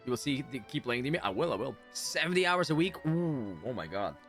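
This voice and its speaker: A man livestreaming a game, chatting casually with viewers and thinking out loud as he reacts. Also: mid-range voice, sing-song, fairly quick, soft background music. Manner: chatty, reads chat aloud, thinks out loud. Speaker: game streamer.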